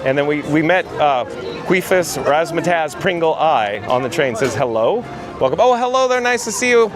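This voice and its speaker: A hoarse, raspy man talks from a theme park on a handheld phone, his voice sitting a touch higher than most men's. He is chatty and reads viewer comments aloud to the camera. Park music plays clearly behind him. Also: expressive, normal speed. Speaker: livestream host. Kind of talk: live theme park vlog reading chat.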